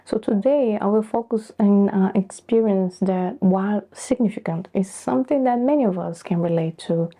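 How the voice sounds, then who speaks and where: A middle-aged woman from Brazil whose first language is Portuguese, speaking thoughtfully to camera in a soft, breathy way with pauses. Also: medium pitch. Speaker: vlogger. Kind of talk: reflective personal talk to camera.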